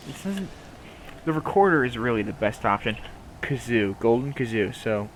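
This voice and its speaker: A man's voice: medium pitch, expressive, normal speed, slight background noise, low-quality recording. A man with a nasal voice rambling casually and playfully to his viewers, swearing now and then. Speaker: streamer talking to viewers. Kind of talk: casual rambling chat to camera.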